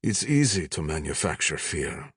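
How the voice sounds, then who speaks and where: A man voicing a cold, arrogant middle-aged Polish army major in an audiobook, lecturing with calm menace. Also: very deep voice, normal speed, breathy edge, no room sound, studio quality, heavily acted. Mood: cold, smug.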